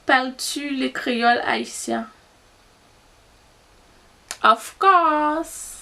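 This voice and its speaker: A young Haitian woman with a Haitian Creole accent talks to her camera in an easygoing vlog. She speaks at an unhurried pace in a fairly high voice. She thinks aloud as she answers and is easily amused. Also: creaky, bright, forceful tone. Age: young adult. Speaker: YouTuber. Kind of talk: casual vlog, answering tag questions.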